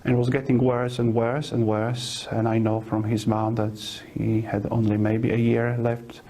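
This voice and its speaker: A middle-aged priest from Poland, a Polish native speaker, gives a personal testimony, speaking slowly and haltingly with pauses and fillers. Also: male voice, mid-range voice, level tone, warm tone, slight background noise. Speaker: parish priest.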